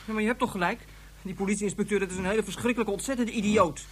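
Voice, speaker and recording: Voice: medium pitch, fairly even intonation, rapid-fire, husky, lightly acted. A performer in a radio drama playing an outspoken, loyal young son with a blunt, eager, indignant way of talking.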